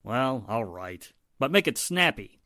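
Man playing a forceful, gruff police inspector. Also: medium pitch, very animated, unhurried, no echo, studio quality. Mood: stern. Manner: gruff, authoritative. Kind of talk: 1940s radio drama acting.

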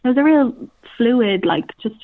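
A woman's voice: a little high, animated, normal speed, no echo. A woman with an Irish accent from Cork, chatting informally and thinking aloud as a theatre director in a casual interview. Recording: very phone-like, narrow-band sound.

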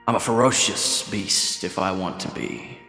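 A man's voice: low-pitched, measured pace, creaky, sharp tone, reverberant, long reverb tail, soft background music, exaggerated voice. A man voicing a young male sphinx cub who speaks in a grand, formal, declaiming way. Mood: haughty.

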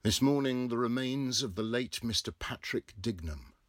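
A man's voice: mid-range voice, animated, moderate pace, dry recording, clean studio sound, voice pushed up, close to an everyday voice. A man voicing a pompous official who proclaims newspaper notices in a declamatory audiobook reading. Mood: pompous, booming.

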